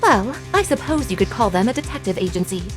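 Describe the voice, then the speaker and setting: A woman's voice: mid-range voice, sing-song, quick pace, soft background music, natural voice. A woman voicing an evasive, sulky young secretary in a theatrical video game fan dub, with a dramatic, defensive, stammering delivery. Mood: sulky, defeated. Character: evasive, flustered, sulky young female secretary under questioning.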